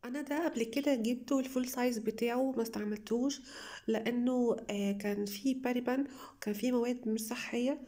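A young woman with an Egyptian Arabic accent, slightly hoarse from a cold, chatting enthusiastically to viewers as she reviews beauty products at home. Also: a little high, fairly even intonation, fast talker, dark tone. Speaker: beauty vlogger. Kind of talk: casual product haul review.

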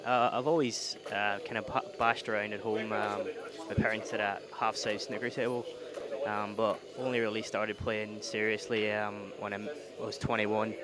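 A man's voice: on the low side, level tone, normal speed, few pauses, over a noisy crowd. A man answering interview questions into a handheld mic in a pool hall, hesitant with many fillers. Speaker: pool player.

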